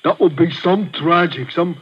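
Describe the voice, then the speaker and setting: Dim thug, high husky voice, stammering, childlike, muffled old radio. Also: male voice. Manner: stammering, halting, broken grammar. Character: slow-witted thuggish male henchman, childlike. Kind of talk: 1940s radio acting. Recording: muffled 1940s radio recording.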